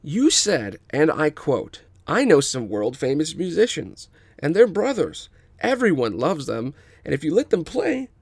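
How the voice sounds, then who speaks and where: Brash young woman bandmate, voiced by a man, stubborn, lifted pitch, dry sound. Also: gravelly, no room sound, heavily acted. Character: brash, stubborn young female drummer Anya. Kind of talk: scripted table read.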